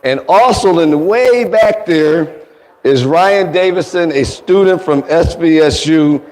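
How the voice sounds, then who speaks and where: A man with a cold, his voice raspy, giving a formal, courteous welcome speech as chairman at an indoor event. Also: a little high, a little slow, darkish tone. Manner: courteous, thanking people one by one. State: getting over a cold. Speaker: foundation board chairman. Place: indoor museum event space. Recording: long hall reverb.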